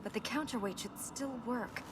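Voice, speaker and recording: A woman playing an earnest, dutiful young princess in a video game, matter-of-fact with a dry, gently teasing wit. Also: fairly high pitch, normal speed, natural voice. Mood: explanatory. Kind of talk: video game dialogue acting. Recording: noisy game ambience behind voice.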